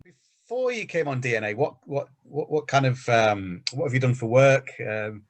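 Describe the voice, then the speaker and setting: A man conducting an informal interview, speaking haltingly and often repeating words. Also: mid-range voice, animated.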